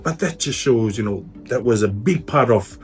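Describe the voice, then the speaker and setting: A man's voice: mid-range voice, normal speed, faint music behind. Chatty man interviewer, lots of fillers. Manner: animated, many fillers like "you know". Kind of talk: casual conversational interview.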